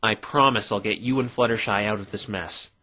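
A man voicing an earnest, bemused defense lawyer in a fan dub, asking measured questions with dry puzzlement. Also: on the low side, sharp tone. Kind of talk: fan dub voice acting of a visual novel. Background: music.

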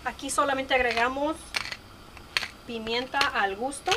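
A woman with a Mexican Spanish accent talks through a recipe in her home kitchen, her voice sitting a little high. She chats eagerly about the food as she cooks. Frying and sizzling sound clearly behind her. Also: fairly even intonation, measured pace. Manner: chatty, enthusiastic about food. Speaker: cooking vlogger. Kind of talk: casual cooking narration. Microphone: camera filming hands at the stove. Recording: kitchen noise.

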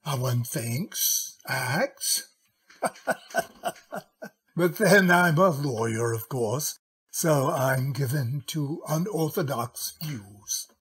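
An actor playing a courtly, cautious middle-aged gentleman lawyer in an old-time radio drama, husky, courteous and hesitant. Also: mid-range voice, very slow, heavily acted. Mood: thoughtful.

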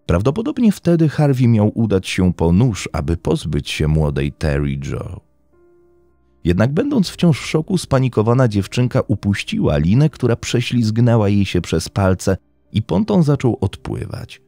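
A man's voice: fairly low pitch, wildly swooping pitch, moderate pace, creaky, warm tone, no room sound. A man narrating a scripted true-crime story in a dramatic, suspenseful way, with emphatic openings to his phrases. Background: music bed under the voice.

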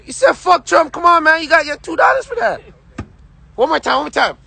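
A young man live-streaming from inside a car, shouting hyped and profane, straining his voice, on a phone held at arm's length. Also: very high voice, sing-song, brisk, vocal fry. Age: young adult.

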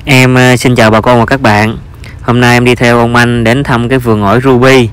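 A man's voice: mid-range voice, level tone, brisk, a bit bright, clean tone. A man with a Southern Vietnamese accent narrates an informal vlog as a farmer, with hesitant, repetitive phrasing.

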